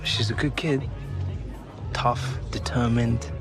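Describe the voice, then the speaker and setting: An actor playing a guarded, easygoing young footballer in a video game cutscene, speaking in a hesitant, understated way. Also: male voice, mid-range voice, steady tone, slow pace, music in the background, close to an everyday voice. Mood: sheepish, friendly. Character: easygoing young male pro footballer, guarded about family.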